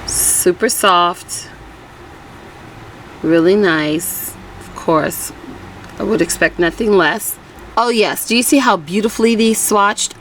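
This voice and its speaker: A woman's voice: mid-range voice, takes it slowly, pauses often, a bit bright. A woman with a slightly scratchy, strained voice, chatting informally as the host of a casual makeup review vlog. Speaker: beauty vlogger host.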